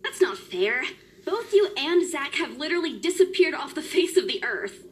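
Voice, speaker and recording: A blunt, teasing young woman from a voiced story game. Her voice sits high and bright for a woman, and she talks to a friend with a playful, needling edge. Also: sing-song, normal speed, slight background noise. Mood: reproachful. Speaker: game character.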